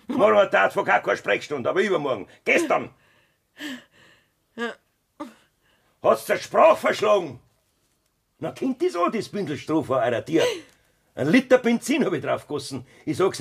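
Raspy, menacing Bavarian farmer. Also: male voice, sits high, big pitch swings, moderate pace, full character voice. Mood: threatening. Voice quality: raspy, gravelly. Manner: taunting, threatening. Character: cold, menacing farmer bullying his wife and mother. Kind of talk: German dialect radio drama acting.

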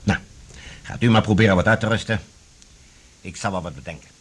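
An actor playing a grave, worried official of a troubled future in a Dutch radio drama, with a very husky voice and deliberate delivery. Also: male voice, on the low side. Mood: pensive.

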